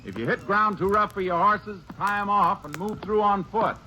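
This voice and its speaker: An actor playing a steady, commanding Texas Ranger in a 1950s radio western, speaking tersely and with matter-of-fact authority. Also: male voice, high-pitched, normal speed, close to an everyday voice. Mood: brisk, commanding. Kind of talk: 1950s radio western acting, also first-person narration. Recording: muffled, noisy old radio broadcast recording.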